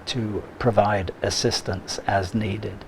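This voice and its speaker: A man answering interview questions slowly and haltingly, with frequent uhs. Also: fairly low pitch, little pitch movement, gravelly, a little hiss or hum, rough recording. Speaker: guest, lodge member. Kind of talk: casual interview.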